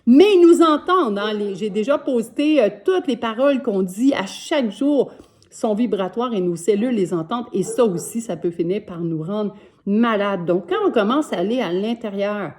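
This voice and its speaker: Québécois woman coach, sixties, mellow warm tone, earnest and repetitive. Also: medium pitch, animated, moderate pace. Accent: Québécois French. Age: 60s. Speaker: life coach. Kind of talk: motivational self-help talk to camera. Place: home.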